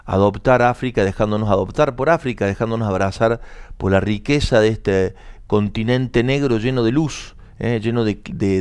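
Argentine radio host, low dry voice. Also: male voice, clear, resonant tone, no room sound. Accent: Rioplatense Spanish. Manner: warm, encouraging, fills in words for the guest. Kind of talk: live radio interview.

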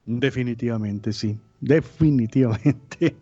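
A man's voice: medium pitch, slow pace, mellow, dry recording. A man with a Costa Rican accent, a priest joining a radio interview from afar, talking with enthusiastic exclamations and some hesitation. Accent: Costa Rican Spanish. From Costa Rica. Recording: dull lo-fi remote line.

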